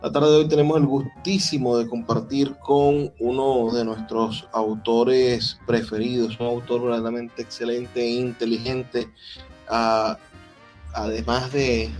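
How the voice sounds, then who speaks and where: A man's voice: medium pitch, little pitch movement, slow, darkish tone, soft background music. A Venezuelan man introducing a guest live as host, searching for his words as he goes. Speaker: host interviewing an author at a book presentation. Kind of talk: live spoken introduction to an audience. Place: live event at a book fair.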